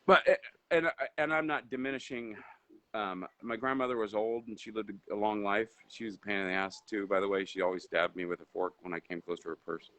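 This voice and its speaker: A man's voice: fairly low pitch, steady tone, a little fast, fairly bright tone. A man who grew up in Indonesia, with Indonesian as his first language, talking as a guest entrepreneur in a casual live interview over a video call. He rambles, with many fillers and restarts.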